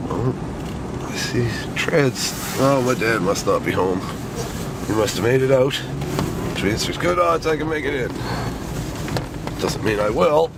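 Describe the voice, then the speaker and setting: A man with a cold, stuffed up and hoarse, chatting casually, swearing and laughing while he drives his truck through snow. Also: medium pitch. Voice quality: hoarse, scratchy. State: stuffed up with a cold, voice going froggy. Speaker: vlogger. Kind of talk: casual rambling vlog while driving. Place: in a vehicle, driving in snow. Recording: loud vehicle and road noise.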